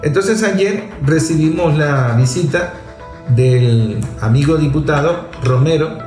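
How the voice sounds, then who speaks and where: Venezuelan man, radio host, emphatic, higher voice, echoey room, faint music. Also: slow, darkish tone. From Venezuela, Zulia. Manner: emphatic, playful teasing. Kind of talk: radio talk and interview. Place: radio studio.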